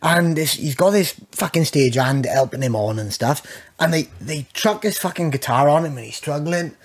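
A man's voice: fairly high pitch, normal speed. A man with a lisp, tipsy and swearing as he tells stories as a podcast guest. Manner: sweary, animated storytelling. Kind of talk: casual banter.

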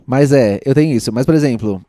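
A man's voice: medium pitch, quick pace, mellow, dry recording. A young man with a São Paulo accent, chatting casually and animatedly, full of slang and gushing repetition. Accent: São Paulo Portuguese. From Brazil. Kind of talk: casual chat.

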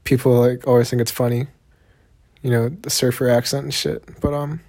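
A young Texan man with a Southern accent talks on his own podcast at an average pitch. He rambles in a loose, laid-back way, swearing casually and filling pauses with ums and likes. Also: little pitch movement, warm tone. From Texas, USA. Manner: rambling, laid-back, profane, heavy on fillers. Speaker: podcast host. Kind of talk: solo podcast monologue.

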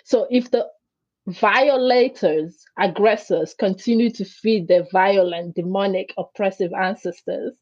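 A woman whose first language is Greek, a guest in an interview who tells stories in an animated way and acts out the voices she quotes. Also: medium pitch, a little slow, breathy edge, no echo, muffled recording. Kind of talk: conversational interview.

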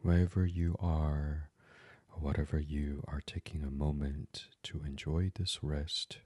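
A man with a deep, breathy voice leads a bedtime relaxation in ASMR style. He speaks very slowly and softly, often dropping almost to a whisper. The sound is close and dry, with no room around it. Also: level tone, no room sound. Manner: hushed, soothing delivery slipping into near-whisper. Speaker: meditation podcast host. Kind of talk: guided sleep meditation, ASMR.

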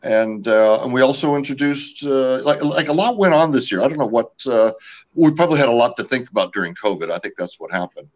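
A man's voice: medium pitch, expressive, fairly quick. A man from California, raised speaking French at home, talks casually about his company's products in an interview. He rambles and stops often to fill gaps with "uh" and "you know". The recording is dull and lo-fi. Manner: rambling, many fillers and restarts. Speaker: company representative.

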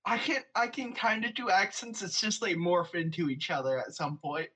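Husky, bright young man teasing his friends. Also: sits high, fairly even intonation, moderate pace. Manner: teasing, repeats himself. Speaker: stream co-host. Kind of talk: casual chat.

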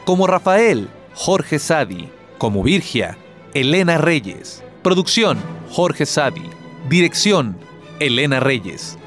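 A man's voice: fairly high pitch, big pitch swings, slow, fairly bright tone, faint music behind. A man reading scripted radio credits as an announcer.

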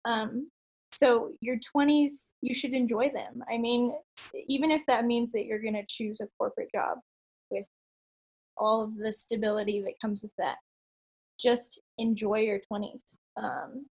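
Upbeat woman in her late 20s chatting on a podcast, kinda high voice, lots of ums and little laughs. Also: fairly even intonation, measured pace, darkish tone, slightly rough, low-quality recording. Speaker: podcast guest, online entrepreneur. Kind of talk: casual interview chat.

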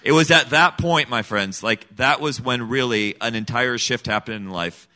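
A middle-aged man tells his story as an interview guest with an earnest, emphatic drive. His voice is bright and forceful, sitting at an average pitch for a man. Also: moderate pace. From Senegal. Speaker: guest, missionary kid. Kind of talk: personal testimony in an interview.

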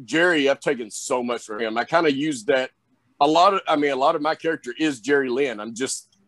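A young man with a Southern drawl talks loosely through an interview, circling back with plenty of "you know"s. His voice sits at a middle pitch, and the sound is dry and close with no room around it. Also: brisk, a bit bright, no echo. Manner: rambling, lots of fillers, drawling. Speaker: wrestler guest. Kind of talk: casual interview chat.

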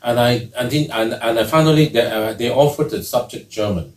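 Roomy, distant man. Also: mid-range voice. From Hong Kong. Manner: chatty and halting, with frequent restarts and repeated words. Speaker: guest, baritone singer. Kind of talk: casual conversational interview. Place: music academy room. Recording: roomy sound from a distant mic.

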